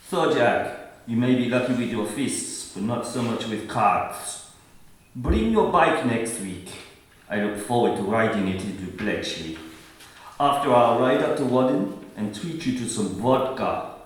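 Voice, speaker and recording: Man playing smug taunting gambler, mid-pitched, lively swings, radio play, very echoey. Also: unhurried, close to an everyday voice. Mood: gloating. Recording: very echoey room, far from mic.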